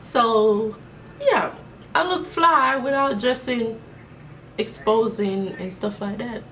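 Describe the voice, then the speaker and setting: A woman's voice: on the high side, slow, sharp tone, recorded from a distance, slight background noise. Chatty young Jamaican woman vlogging. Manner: chatty, rambling, many fillers. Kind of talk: casual Q&A vlog. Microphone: camera mic.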